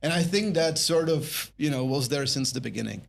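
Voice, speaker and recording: A man's voice: on the high side, a little fast, gravelly. A middle-aged man with a Czech accent, a guest chatting on a podcast about his career, thoughtful and rambling with restarts. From Czech Republic. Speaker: guest, brand marketer. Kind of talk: casual podcast conversation.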